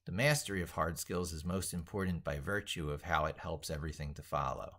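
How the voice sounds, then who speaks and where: A man's voice: very deep voice, fairly quick, a bit bright. A man delivering an opinionated tech monologue in an emphatic, declarative way, reworking and repeating his phrases as he drafts them aloud. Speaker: tech educator presenter.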